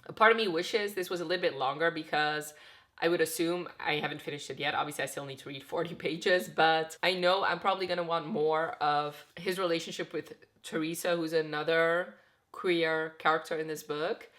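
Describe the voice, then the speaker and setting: Low-voiced woman vlogger, getting sick. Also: fairly even intonation, a little fast, a bit bright. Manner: rambling, filler-heavy, frequent "like". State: getting sick, voice slightly off, tired. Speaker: book vlogger. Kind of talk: casual chatty book review.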